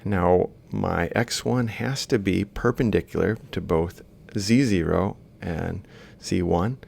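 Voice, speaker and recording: Very gravelly low male voice, lecturer, informal step-by-step explanation, thinking aloud. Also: slow, darkish tone. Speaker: robotics lecturer. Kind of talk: explaining step by step while drawing.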